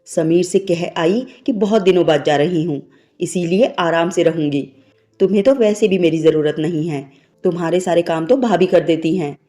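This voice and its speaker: A woman's voice: lowish voice, normal speed, mellow. A woman narrating a scripted, sentimental moral story as a storyteller. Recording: music bed behind the voice. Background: background music.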